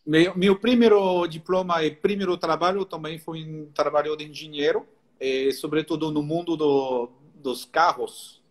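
A man's voice: fairly high pitch, fairly even intonation, normal speed. A middle-aged man from France with a French accent, a guest enologist explaining things in a friendly way, with many restarts, on a live video call from home. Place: home room, indoors. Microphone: video call on laptop.

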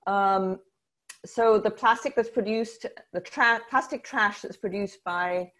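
An older woman in her sixties speaks on a video call panel. Her pitch is average, and she explains things informally, pausing often as she goes. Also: fairly bright tone. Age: 60s. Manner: halting, pausing mid-sentence. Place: room at home, on a video call. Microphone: wired earphone mic.

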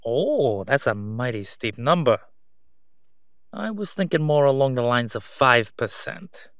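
A man's voice: medium pitch, big pitch swings, fairly bright tone, smooth, dry recording, clean studio sound. Man acting out a sleazy, menacing crime boss. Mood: haggling. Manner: oily, taunting, drawn-out delivery with stalling pauses. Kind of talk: solo audio roleplay acting.

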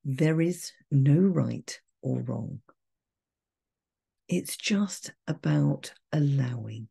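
A woman guiding a meditation in a soft, breathy, soothing voice, gentle and measured with long pauses. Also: deep voice, expressive. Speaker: meditation guide. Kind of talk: guided meditation, calm and soothing.

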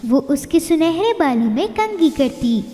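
A woman's voice: sits high, big pitch swings, measured pace, dark tone, long reverb tail. A woman narrating a scripted children's fairy tale as storyteller.

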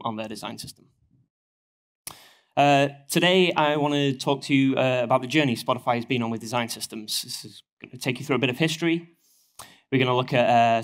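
A man in his thirties with a northern English accent, giving a conference presentation from a lectern on a headset mic while getting over a cold. His delivery is steady and explanatory, with frequent ums and uhs. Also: mid-range voice. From northern England. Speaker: conference speaker. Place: stage of a conference hall, at a lectern.

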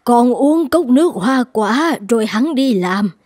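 A woman's voice: a little high, animated, measured pace, a little mellow. A woman playing a scheming, snobbish middle-aged mother in a cartoon drama, speaking in an emphatic, admonishing way. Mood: proud, pleased. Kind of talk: dubbed cartoon drama acting. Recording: long reverb, music underneath.

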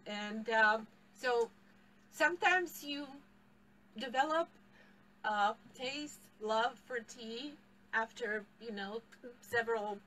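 A woman's voice: a little high, slow pace, pauses often, sharp tone, far from the mic, a little hiss or hum, poor audio. A middle-aged woman with a Polish accent teaching a live tea tasting class in a tea shop, picked up by a camera across the table, explaining and laughing often. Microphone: far camera across table.

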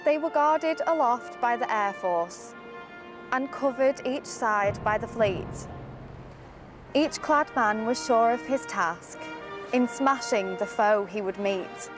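Slow, high-pitched teenage girl reciting poetry. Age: teens. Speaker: student reading a poem. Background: music.

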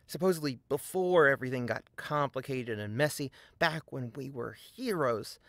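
A man's voice: fairly high pitch, no room sound. Man narrating a video essay, dry close sound. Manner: argumentative, deliberate, with rhetorical questions.

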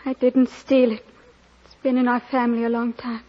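A woman's voice: fairly high pitch, little pitch movement, moderate pace, darkish tone, natural voice. An actress playing a meek, poor young woman, timid and plain-spoken, in 1940s radio style. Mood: meek, hurt. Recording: muffled, noisy vintage radio recording.

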